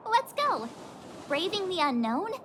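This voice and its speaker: A woman voicing a perky, feisty teenage girl adventurer in a video game, bubbly and quick to bristle. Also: very high-pitched, a bit bright, a little hiss or hum, heavily acted. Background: music.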